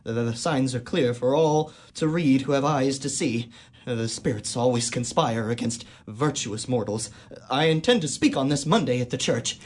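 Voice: medium pitch, normal speed, lightly acted. A performer playing a jittery, superstitious young male schoolmaster who stammers skittishly in a radio drama. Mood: nervous.

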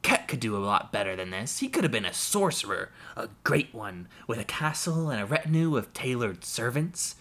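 A man's voice: medium pitch, big pitch swings, moderate pace, few pauses. A man voicing a bitter, drunken uncle who rants and snarls at his family. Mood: ranting. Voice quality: loud, snarling, rising to shouts. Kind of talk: audiobook character voice.

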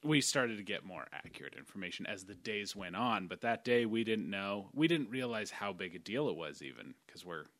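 A man's voice: on the low side, lively intonation, a little fast, hardly any pauses, a bit bright, no room sound. An American man co-hosting a podcast, casually telling stories with lots of "like". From United States. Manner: storytelling, lots of "like". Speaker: podcast co-host. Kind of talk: casual chat.

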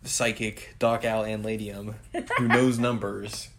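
A man's voice: on the low side, level tone, takes it slowly. A man co-hosting a podcast, casually recapping an anime episode and laughing often. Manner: laid-back, laughs often, slips into quoted voices. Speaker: podcast co-host.

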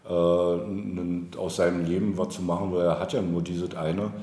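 Male interview guest, low register, thoughtful, halting delivery, thinking aloud, roomy recording. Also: flat delivery, dark tone. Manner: hesitant, searching for words, thinking aloud. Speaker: interview guest, local politician. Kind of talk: reflective conversational interview. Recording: roomy, echoey indoor sound.

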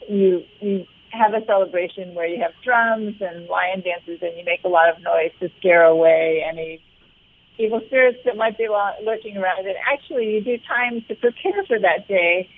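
A woman talks as a guest in a radio interview, explaining in a conversational manner. Her pitch is middling, and the sound is narrow and thin, like a phone line. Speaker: arts organisation leader, interview guest.

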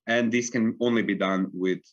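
A man's voice: lowish voice, fairly even intonation, moderate pace. Earnest young man from Serbia, lo-fi. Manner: earnest, frequent fillers like "like". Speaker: pianist, interview guest. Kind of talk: casual interview talk. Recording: dull lo-fi recording.

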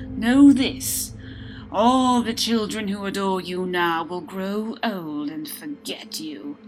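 A woman voicing a wrathful, horned and winged ancient male god who speaks slowly and commandingly, with music underneath. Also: fairly low pitch, low-quality recording.

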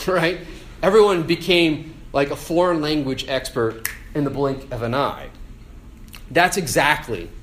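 A man preaching a casual, conversational sermon, animated and full of "like" and "right?". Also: a little high, a little hiss or hum.

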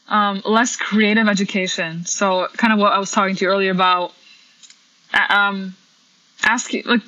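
A woman percussionist with a slight Polish accent talks through interview questions in a loose, chatty way. Her voice is bright and forceful, sitting at an ordinary pitch for a woman. She fills pauses with "um" and "like" and laughs lightly between thoughts. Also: highs cut off. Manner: chatty, many fillers and laughs. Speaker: percussionist guest. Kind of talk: casual interview answers.

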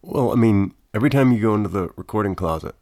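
A man acting as the intern and sidekick on a comedy podcast, speaking in a meek, deadpan, mildly aggrieved way. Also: on the low side, fast, dry recording, clean studio sound.

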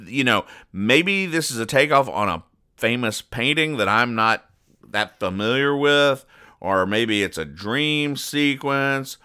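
A man hosting a podcast, describing comic strips in a halting, deadpan, mock-exasperated way. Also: mid-range voice, clean tone. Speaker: podcast host. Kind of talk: comic commentary reading newspaper comics aloud.

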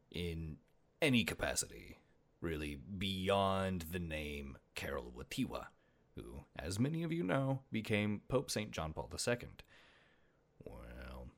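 A man hosting a casual podcast, hoarse today, chatty and self-deprecating with playful asides. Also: deep voice. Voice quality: gravelly. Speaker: podcast host. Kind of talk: casual solo podcast about saints.

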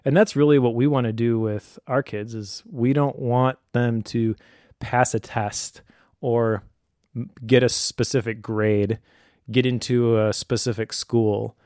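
A man's voice: medium pitch, steady tone, unhurried, darkish tone, no echo, clean studio sound. A middle-aged man speaking casually and thoughtfully, explaining advice as a parent. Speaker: homeschooling parent giving advice.

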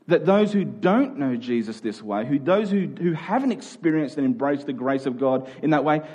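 Australian man preaching, higher-pitched voice. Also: darkish tone. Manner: informal, joking with the congregation, rhetorical questions. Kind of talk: conversational sermon. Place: live before a congregation.

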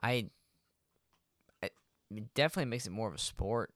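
A man's voice: medium pitch, expressive, normal speed, a bit bright, no echo, studio quality. A man co-hosting a casual podcast, talking in a laid-back, slangy way peppered with swearing. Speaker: podcast co-host, a fighter. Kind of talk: casual conversational podcast chat.

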